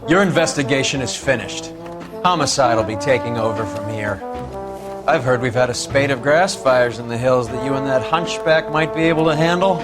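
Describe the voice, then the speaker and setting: A man's voice: medium pitch, moderate pace, close to an everyday voice. An actor playing a smug, menacing crooked male policeman in a crime video game, taunting and sneering. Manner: sneering, taunting, smooth-talking. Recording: loud music behind the voice.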